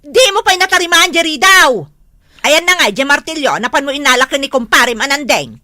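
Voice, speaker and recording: A woman plays an exasperated young wife in a comedy drama. She nags and complains in a high, very lively voice with a northern Philippine accent. The recording is close and dry. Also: a little fast, dry recording. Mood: angry scolding. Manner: scolding, complaining. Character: exasperated nagging younger wife of a lazy husband.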